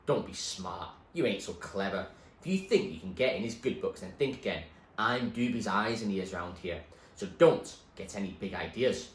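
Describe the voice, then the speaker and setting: Teenage bully, voiced by a man, rough, loud, threatening, echoey room. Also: lowish voice, animated, normal speed, lightly acted. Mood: menacing. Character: menacing teenage boy, a bully. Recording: echoey room, faint noise.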